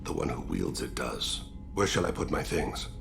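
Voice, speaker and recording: An actor playing a courteous, philosophical alien assassin who is dying, with a gravelly voice and a formal, measured, solemn manner in a video game. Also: male voice, very deep voice, music in the background, full character voice. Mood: calm, courteous. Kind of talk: video game cutscene acting.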